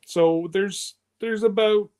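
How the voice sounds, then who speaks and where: A man's voice: high voice, steady tone, takes it slowly, a little mellow, clear, resonant tone. A man in his fifties hosting a live stream, chatting casually in a loose, rambling way. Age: 50s.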